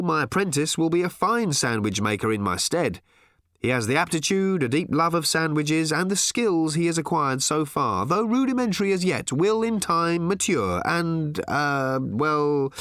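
A man's voice: fairly high pitch, big pitch swings, normal speed, a bit bright, no room sound, clean studio sound, voice pushed up. A man voicing a mild, exasperated, awkwardly polite everyman in an audiobook, hesitant and inclined to ramble. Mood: awkwardly formal. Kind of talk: audiobook character voice.

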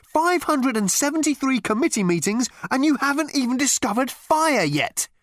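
Man acting a sardonic, exasperated, high-pitched man. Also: big pitch swings, a little slow, no room sound, studio quality. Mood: impatient. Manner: impatient, sarcastic.

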